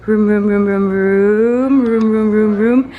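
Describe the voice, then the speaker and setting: A young woman from the Philippines with a Filipino accent, chatting casually and excitedly to her vlog audience in a gushing, giggly way. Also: mid-range voice, slow, narrow, tinny audio. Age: young adult. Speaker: vlogger. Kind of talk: casual vlog chat to camera. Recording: noisy vlog audio with loud chatter.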